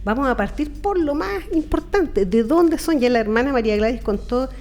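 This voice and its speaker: A woman's voice: sits high, lively intonation, normal speed, a little mellow. A Chilean woman hosting a radio program in an informal, chatty, friendly interviewing style. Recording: music bed under the voice. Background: background music.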